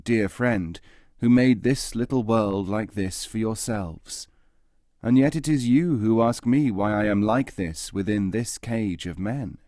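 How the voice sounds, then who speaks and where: A man voicing a wise, patient slave who teaches in a grave, measured way in a storybook reading. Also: medium pitch, dark tone, no room sound, studio quality, lightly acted.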